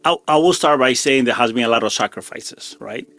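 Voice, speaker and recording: A middle-aged man answering as an interview guest in a casual, modest way, often halting and repeating himself. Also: medium pitch, creaky, a bit bright, no room sound, faint music behind.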